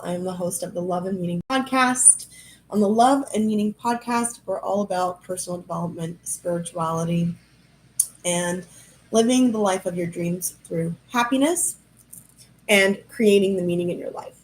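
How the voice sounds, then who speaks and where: A young adult woman hosting a podcast livestream from a high-rise apartment. She has a head cold that makes her nasal, and she chats in a casual, rambling, self-amused way. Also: slight background noise, rough recording. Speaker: podcast host.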